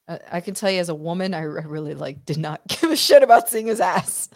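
Blunt, lower-voiced woman chatting casually. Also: expressive, brisk. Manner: blunt, opinionated. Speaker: podcast co-host. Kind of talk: casual watch-party commentary.

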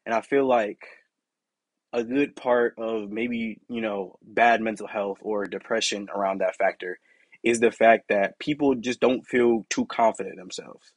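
A young man with a deep, raspy voice hosting his own podcast, talking in a candid, rambling way with lots of "you know" and "like". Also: steady tone, measured pace, no echo. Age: young adult. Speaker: podcast host. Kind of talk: casual solo podcast monologue.

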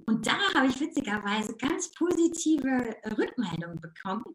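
A woman coach from the Ruhr area with a Ruhr German accent, talking in a casual interview, lively and bubbly, with words tumbling out and frequent laughs. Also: on the high side, normal speed, roomy sound.

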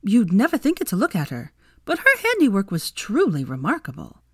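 A woman's voice: mid-range voice, huge pitch swings, brisk, husky, no room sound, clean studio sound, pitched above the performer's own voice, full character voice. A woman voicing a sly adult woman who speaks in a smirking, mock-polite way. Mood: teasing.

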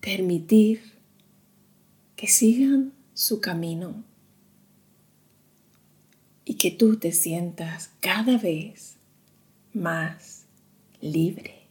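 Woman doing guided meditation, super slow w long pauses, mellow relaxed hushed voice, soothing. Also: on the low side.

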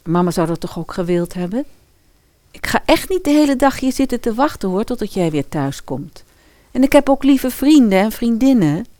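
A woman's voice: on the high side, very animated, normal speed, dark tone, dry recording. An actress playing a brave, reassuring teenage schoolgirl daughter in an audio fairy-tale drama.

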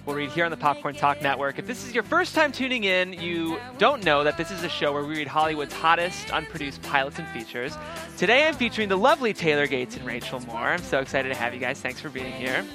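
A man's voice: fairly high pitch, expressive, fast, over music. A man hosting a show, talking casually and reading scripted narration. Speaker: show host and stage-direction reader.

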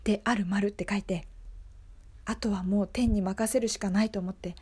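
A woman's voice: mid-range voice, steady tone, moderate pace, warm tone, slightly rough, natural voice. A woman playing an earnest young female schoolteacher in an improvised radio monologue, telling her story in a confiding, emphatic way. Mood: frantic.

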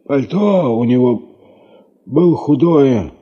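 An actor in a radio play playing a kindly, anxious old grandfather who speaks in halting short phrases. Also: animated, lo-fi sound. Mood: gentle, earnest. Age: older. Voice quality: husky, soft, low. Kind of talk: Russian radio play acting.

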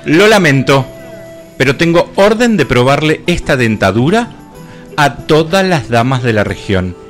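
An actor with an Argentine accent playing a deferential, excitable aide to a prince in a comic radio play. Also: male voice, medium pitch, a little slow, soft background music. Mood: dutiful. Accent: Argentine, Rioplatense. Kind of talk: comic radio theatre parody.

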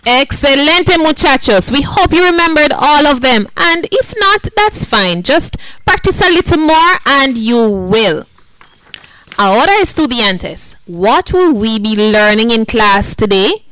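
A woman running a bilingual classroom lesson, her voice on the high side and full of melody. She talks slowly and clearly, saying each point in Spanish and then again in English, and the sound is dry and close. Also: lively intonation, fairly bright tone, dry recording, rough recording. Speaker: teacher.

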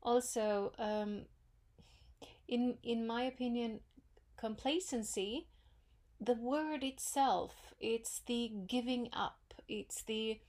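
A woman with a Norwegian accent hosting her own podcast, talking in a thoughtful, unhurried way with many pauses and fillers. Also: on the high side, darkish tone. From Norway. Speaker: podcast host. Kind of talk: solo reflective podcast talk.

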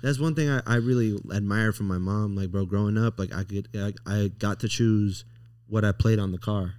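A man's voice: fairly low pitch, moderate pace, a little mellow, smooth, dry recording, clean studio sound. A young adult man with an American accent, hosting a casual conversation in informal, slangy speech. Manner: informal, slangy talk peppered with "bro" and "like". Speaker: podcast host.

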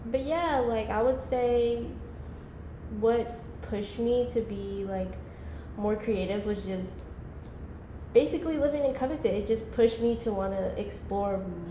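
Young woman, relaxed rambling chat. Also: fairly high pitch, a little slow, reverberant, a little hiss or hum, low-quality recording. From Georgia, US. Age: 20s. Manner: laid-back, rambling, lots of "like". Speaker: podcast guest. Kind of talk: casual podcast chat.

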